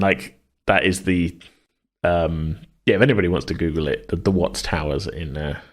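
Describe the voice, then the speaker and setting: A man co-hosting a casual worldbuilding chat, hesitant and rambling as he thinks out loud. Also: sits low. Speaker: podcast co-host.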